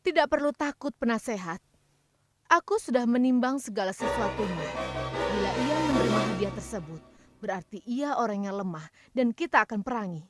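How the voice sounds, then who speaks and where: A woman's voice: fairly high pitch, expressive, normal speed, faint music behind, natural voice. A woman acting a proud, shrewd queen in a cartoon, with a regal, commanding and formal delivery.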